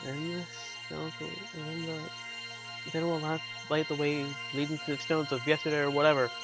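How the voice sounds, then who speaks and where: A man's voice: a little high, level tone, moderate pace, music in the background. A man giving casual, wry game commentary and reading game text aloud in an offhand way. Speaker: video game player.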